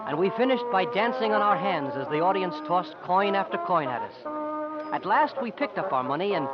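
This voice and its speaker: A teenage tumbler in a golden-age radio play, telling his tale plainly in a fairly high voice. The sound is dull and dated, with clear music behind it. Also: expressive, a little fast. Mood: reflective. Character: sixteen-year-old acrobat boy, looking back as narrator. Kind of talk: 1950s radio drama narration and acting. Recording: dull old radio recording.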